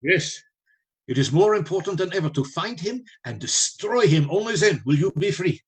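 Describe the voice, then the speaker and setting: A man acting a learned vampire hunter in a radio drama. His voice sits a bit high for a man, and he speaks with grave, measured authority and steady resolve. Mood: grave, resolute.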